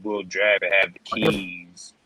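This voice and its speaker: A man with a deep voice, chatting laid-back and slangy on a sports stream. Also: level tone, slow, pushed and bright, a little hiss or hum, poor audio. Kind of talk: casual sports stream chat.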